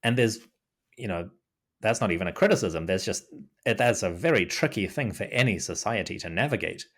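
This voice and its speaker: Kiwi guy, really low voice, thinking out loud on a podcast call, lots of restarts. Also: expressive, a little fast. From New Zealand. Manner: thoughtful, restarts often, lots of "you know". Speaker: podcast guest, Egyptology podcaster. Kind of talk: casual interview talk. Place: remote call.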